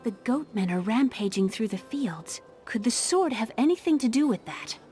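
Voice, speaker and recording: Actress as an earnest young fantasy heroine. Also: female voice, on the high side, lively intonation, normal speed, warm tone, faint music behind, close to an everyday voice. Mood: curious. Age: young adult. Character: earnest, curious young woman, scholar's niece. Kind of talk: video game voice acting.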